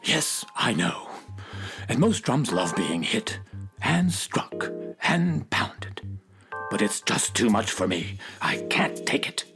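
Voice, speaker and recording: A gentle music-teacher drum from a children's radio play, acted by a man. The delivery is earnest and fretful, with a widely varied melody and a plaintive edge. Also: on the low side, sing-song, slow, exaggerated voice. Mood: fretful, pleading.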